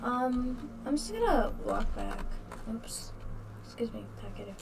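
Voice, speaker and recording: A woman's voice: on the high side, wildly swooping pitch, a little slow. A woman doing casual let's play commentary and reading game text aloud, meandering and stumbling over words. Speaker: game streamer. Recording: slightly distant mic, faint game music.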